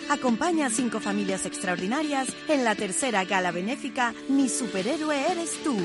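Female ad announcer, higher pitch, lively scripted read over prominent music. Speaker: advertising announcer. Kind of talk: scripted radio advertisement.

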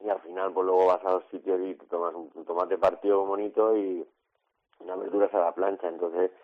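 Middle-aged man from La Mancha, chef interviewee; very low, flat delivery; hesitant, restarts often; muffled lo-fi sound. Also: brisk. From La Mancha, Spain. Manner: hesitant, many fillers and restarts. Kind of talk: casual interview answers.

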